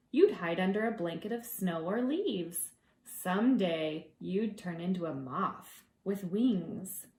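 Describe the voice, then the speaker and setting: A woman reading aloud to preschoolers as their teacher, expressive and gentle, drawing out words and adding conversational asides. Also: medium pitch, roomy sound. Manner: gentle, deliberate, drawing out words for young listeners.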